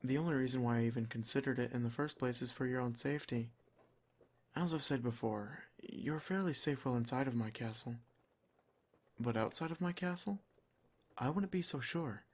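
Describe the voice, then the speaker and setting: A man voicing a protective, possessive vampire lord in an ASMR roleplay, speaking in a measured, reassuring, gently commanding way. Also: medium pitch, lightly acted. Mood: calm, possessive. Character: possessive, protective male vampire lord, soft-spoken and teasing. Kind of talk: ASMR roleplay, scripted intimate monologue.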